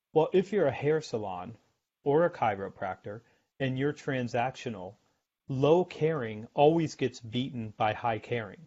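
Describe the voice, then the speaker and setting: A man's voice: medium pitch, darkish tone, dull audio. A man speaking as a guest expert in a podcast interview, explaining things conversationally in short, emphatic, instructive phrases.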